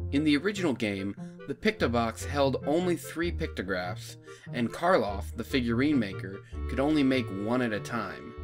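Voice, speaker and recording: Man narrating a game review over music. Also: medium pitch. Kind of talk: scripted opinion video narration. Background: background music.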